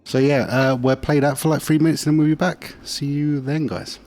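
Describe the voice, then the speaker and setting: A man's voice: fairly high pitch, fairly even intonation, fairly quick, a little mellow, soft background music. A man hosting a casual chat, dry and teasing. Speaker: show host.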